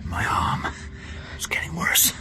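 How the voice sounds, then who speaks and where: A man's voice: slow pace, whispered, close to an everyday voice. A man acting a weary, wounded middle-aged father held prisoner in a period radio drama. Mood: strained, pained.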